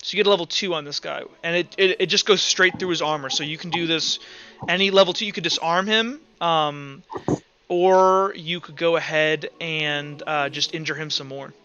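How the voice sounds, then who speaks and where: Male game master, bright forceful voice, halting improvised narration and rules calls. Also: on the high side, fairly even intonation, smooth, muffled recording. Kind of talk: narrating and refereeing a tabletop roleplaying game.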